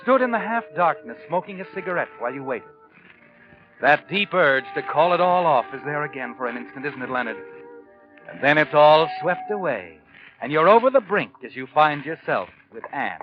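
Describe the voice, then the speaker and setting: An ominous radio storyteller who taunts with insinuation. His fairly high voice swoops dramatically over music on a muffled 1940s suspense recording. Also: sing-song, moderate pace. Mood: suspenseful, taunting. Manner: insinuating, dramatic second-person address. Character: the Whistler, ominous male narrator taunting the protagonist.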